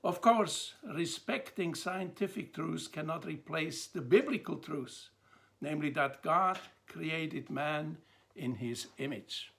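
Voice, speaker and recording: Slow, gravelly Swiss-accented older man. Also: on the high side, a bit bright. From Switzerland. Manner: measured, pausing between phrases. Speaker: theologian presenting a talk. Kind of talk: slow, deliberate lecture to camera.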